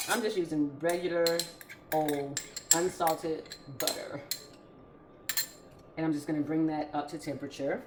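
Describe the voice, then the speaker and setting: A chatty woman with a Southern US accent, talking casually as she hosts a home cooking demonstration. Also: low-pitched. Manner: chatty, informal. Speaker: home cooking vlog host. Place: indoors in a home kitchen. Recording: roomy kitchen echo, clattering utensil noise.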